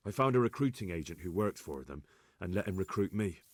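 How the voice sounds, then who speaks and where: An actor playing an earnest, dutiful young scout working undercover in a video game drama. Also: male voice, low-pitched, animated, brisk, no room sound. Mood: earnest, determined.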